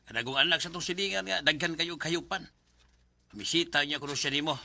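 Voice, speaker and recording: Pushy older father, Bisaya Filipino accent, sharp forceful voice, slightly high, emphatic radio drama delivery. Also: a little fast, gravelly, no echo, full character voice. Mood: insistent, scheming. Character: pushy, meddling older father urging his daughter onward.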